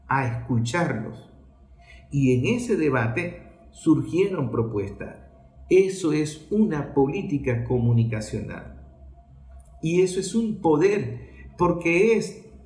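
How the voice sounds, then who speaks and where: A Venezuelan man with a fairly high voice speaks in an echoey room. He delivers political commentary like a lecture, stressing his points through repetition and rhetorical questions. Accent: Venezuelan Spanish.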